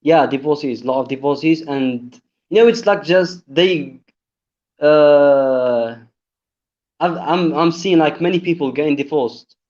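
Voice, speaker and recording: A young man from Algeria calls in over a dull, lo-fi connection, his voice pitched a touch high. He talks in a halting way, stumbling through fillers and restarts. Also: darkish tone. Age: 20s. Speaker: caller. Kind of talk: call-in on a live stream. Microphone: online call. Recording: lo-fi call audio.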